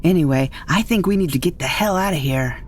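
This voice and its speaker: A woman playing a calm, bossy superhero scientist with a wry, unflustered manner in an audio drama. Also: sits low, brisk, slight background noise. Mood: calm, stern.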